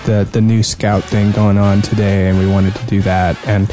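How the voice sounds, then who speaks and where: A man's voice: fairly low pitch, level tone, normal speed, music in the background. A man co-hosting a gaming podcast, chatting casually in a joking, teasing way. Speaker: podcast co-host.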